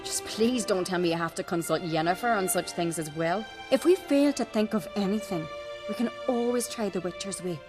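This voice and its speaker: A determined young noblewoman speaks in a brisk, confident, slightly wry way, as an actress plays her. Her voice sits at a middle pitch, with game music clearly audible behind her. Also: lightly acted.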